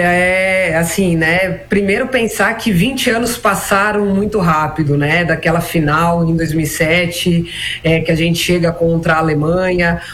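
Reflective woman in her forties. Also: fairly low pitch. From Brazil. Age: 40s. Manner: measured, frequent "né" and "então" fillers. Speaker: former national team player, guest. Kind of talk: reflective interview answers.

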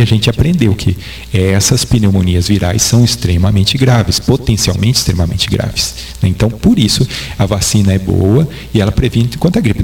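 A man's voice: lowish voice, vocal fry, a little mellow. A man with a Brazilian Portuguese accent, a doctor answering interview questions in a patient, didactic way. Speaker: doctor guest.

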